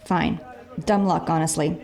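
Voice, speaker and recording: A woman's voice: low voice, fairly even intonation, measured pace, a little mellow. A woman playing a candid leader of a thieving crew in an audio drama, clipped and matter-of-fact.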